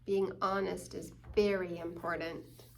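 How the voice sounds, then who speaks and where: A woman reading a picture book aloud to children as a story time host, expressively and deliberately, explaining things simply. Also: fairly low pitch, flat delivery, gravelly, a little hiss or hum. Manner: slow, deliberate, acting out quoted lines. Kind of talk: expressive children's read-aloud with asides to listeners.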